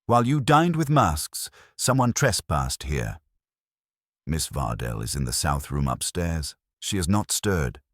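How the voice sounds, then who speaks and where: A man voicing an imperious, brooding genius detective in an audiobook, speaking in a pompous, formal, deliberate way. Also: very deep voice, very animated, dry recording, studio quality, full character voice. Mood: affronted. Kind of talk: audiobook character acting.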